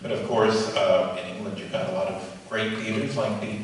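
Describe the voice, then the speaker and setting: Lowish-voiced man in a very echoey hall. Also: level tone, a little fast. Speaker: panel questioner. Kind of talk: conversational questioning. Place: large theatre. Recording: echoey noisy hall, distant pickup.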